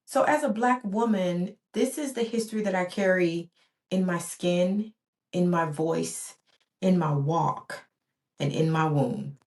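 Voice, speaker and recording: Earnest, lower-voiced woman podcast host. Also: takes it slowly, darkish tone. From born in Barbados, raised in the US. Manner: earnest, rhythmic, with repeated emphatic phrases. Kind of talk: reflective solo podcast monologue.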